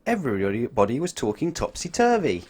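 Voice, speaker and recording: A man reading a children's storybook aloud in an expressive way, putting questions to his young listeners. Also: mid-range voice, moderate pace. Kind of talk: animated children's storybook narration.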